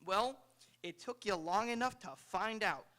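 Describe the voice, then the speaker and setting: Radio comedy sidekick male actor: piercing high voice, put-on Italian accent, broken English, cheeky schemer with a literal mind. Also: unhurried, pushed and bright. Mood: mocking. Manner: wisecracking, literal-minded. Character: cheeky, dim-witted comic male office assistant, wisecracking schemer. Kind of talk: 1930s comedy radio play acting. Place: auditorium stage. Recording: live stage radio play.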